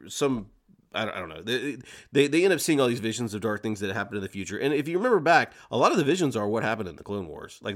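A man hosting a podcast, casually retelling and discussing a story as a fan, explaining it in an animated way. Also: on the low side. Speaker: podcast host.

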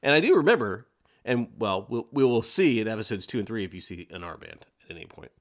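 A man hosting a podcast, casually retelling and discussing a story as a fan, explaining it in an animated way. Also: lowish voice, quick pace. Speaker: podcast host.

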